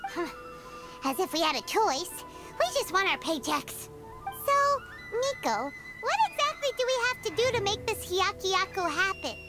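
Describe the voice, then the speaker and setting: Super high squeaky lil game mascot girl, excitable, easily indignant, all over the place. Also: moderate pace. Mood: grudging. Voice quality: squeaky, childlike. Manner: exclamatory, speaks in third person. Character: tiny excitable, indignant childlike floating girl companion. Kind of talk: video game character dialogue. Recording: loud game music behind.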